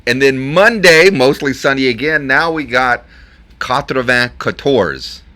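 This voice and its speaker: A man's voice: mid-range voice, animated, measured pace, pushed and bright. A man presenting a radio show in a folksy, chatty showman's manner, addressing listeners directly with dramatic repeats.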